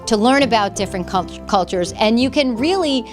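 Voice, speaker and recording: A woman's voice: on the high side, expressive, normal speed. A woman speaking as a club advisor, explaining a program in a promotional interview style. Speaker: social worker and student club advisor. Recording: music playing behind the voice. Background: music.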